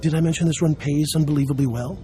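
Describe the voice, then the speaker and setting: An actor playing a jovial, scheming smuggler boss in a video game, gravelly and persuasive. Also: male voice, fairly high pitch, steady tone, quick pace, dark tone, lightly acted. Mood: upbeat persuading. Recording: faint music behind the voice.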